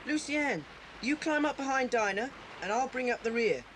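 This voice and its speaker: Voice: mid-range voice, animated, moderate pace, fairly bright tone, close to an everyday voice. A performer playing a keen, responsible, protective boy in a 1980s audio drama. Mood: eager, protective. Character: keen, responsible boy leading younger children. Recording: noisy old cassette tape transfer.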